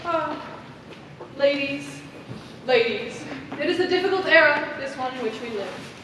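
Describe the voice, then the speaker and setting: A woman acting in a live stage play as an imperious, scheming committee president, making pompous, deliberate speeches. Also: high voice, animated, fairly bright tone. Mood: solemn, grandiose. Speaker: stage actor. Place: indoor theater stage, recorded from afar. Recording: echoey theater hall, distant mic, faint noise.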